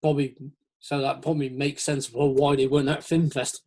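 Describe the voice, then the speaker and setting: A man's voice: mid-range voice, little pitch movement, fairly quick, dark tone. A man in a casual, rambling, jokey chat, acting out little quotes as he goes. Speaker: host of a metal chat show.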